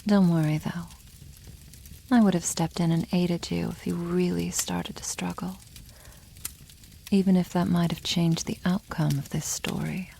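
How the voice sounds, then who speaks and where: A woman plays a proud, teasing dragon girl in an intimate ASMR roleplay. Her soft, half-whispered voice moves very slowly and crackles with vocal fry. She sounds wry and a little condescending toward humans, over faint background ambience. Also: on the low side, steady tone. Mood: amused, musing. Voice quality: soft, breathy, often near whisper. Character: proud, teasing young female dragon, protective elder sister. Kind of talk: ASMR roleplay, addressing the listener.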